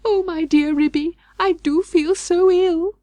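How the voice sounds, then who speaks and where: A woman voicing an excitable, anxious female dog in a storybook reading, flustered and melodramatic. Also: extremely high pitch, measured pace, dry recording, pitched above the performer's own voice. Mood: wailing, panicked. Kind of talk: audiobook storybook character voice.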